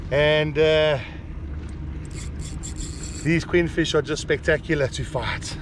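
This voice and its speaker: A man's voice: on the high side, takes it slowly. A man in his thirties fishing from a kayak on the open sea, giving casual commentary into an action camera and whooping as the fish run. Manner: excited whoops and cheering while fighting fish. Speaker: kayak angler. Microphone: action camera on the boat. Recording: outdoor camera with wind and water noise.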